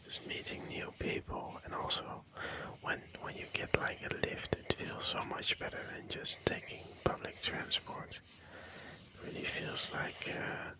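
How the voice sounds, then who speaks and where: A soft, breathy whisperer, rambling gently for ASMR with hesitant pauses and repeated phrases. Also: rough recording. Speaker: ASMR video creator.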